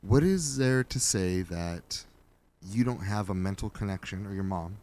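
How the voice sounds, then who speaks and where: Blunt, low-voiced male host. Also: measured pace, a little mellow. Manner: blunt, joking, swears casually. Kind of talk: casual interview chat.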